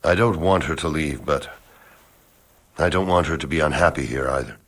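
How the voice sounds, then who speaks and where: A man's voice: very deep voice, moderate pace. A man playing a lovesick, decent executioner in a video game, talking in a wistful, reflective way. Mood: wistful longing. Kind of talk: video game cutscene dialogue.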